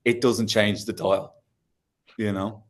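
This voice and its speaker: A man's voice: fairly low pitch, little pitch movement, slow. A man on a music-industry panel talking reflectively about career choices. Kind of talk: panel discussion.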